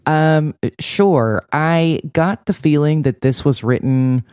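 Dry woman with a mellow, close voice. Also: low voice, slow, clear, resonant tone, no room sound. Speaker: podcast co-host. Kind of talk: casual TV recap chat.

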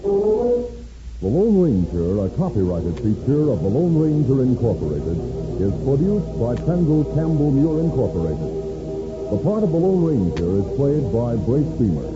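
A man's voice: mid-range voice, huge pitch swings, measured pace. A man playing the dramatic narrator of a radio western, delivering scripted announcer narration. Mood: announcing. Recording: dull old radio recording, loud music. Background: loud music.

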